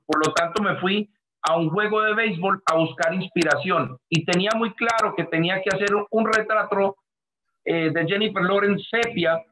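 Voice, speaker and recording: A middle-aged man with a Colombian accent talks earnestly over a webcam from his home, his voice pitched high for a man. The call carries a clear layer of background noise and clicks. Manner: earnest, effusive, speaks in long flowing sentences. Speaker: artist guest. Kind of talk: video call interview. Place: home room, indoors. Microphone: webcam on video call.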